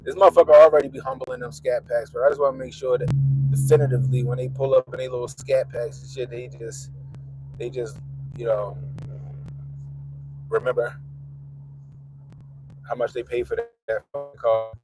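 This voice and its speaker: A man's voice: mid-range voice, little pitch movement, normal speed, pauses often, poor audio. A congested man hosting his vlog, talking in a loose, profane, laughing rant from inside his car. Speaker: vlogger host. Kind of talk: casual profane commentary.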